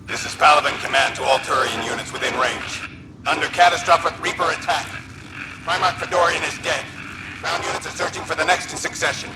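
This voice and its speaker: Male actor: embattled game alien officer, raised pitch, strained, barking urgently through very loud noise. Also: steady tone, a little fast, close to an everyday voice. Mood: frantic, urgent. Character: male alien military commander under attack, urgent. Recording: very noisy, echoing battlefield transmission.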